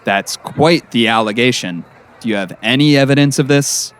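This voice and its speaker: An actor playing a formal, paternal middle-aged school principal who cautions and admonishes in an audio drama. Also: male voice, medium pitch, normal speed, lightly acted. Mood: stern, cautioning. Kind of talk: scripted audio drama acting.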